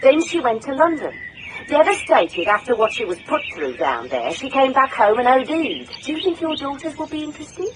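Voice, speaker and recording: A shrewd woman investigator from a radio drama, with a highish, very mobile voice. She is controlled, wry and steely, recorded over faint noise. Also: sing-song, moderate pace. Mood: coolly threatening. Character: shrewd, steely female private investigator, quietly morally indignant. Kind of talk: radio drama acting and first-person narration.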